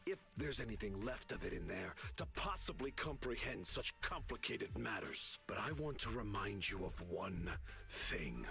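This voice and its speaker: Contemptuous military general for a game: pompous, cutting, bright voice with dramatic swings in pitch. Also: male voice, vocal fry, full character voice. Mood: menacing, scornful. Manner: pompous, scornful, oratorical. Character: arrogant, contemptuous male military general, political schemer.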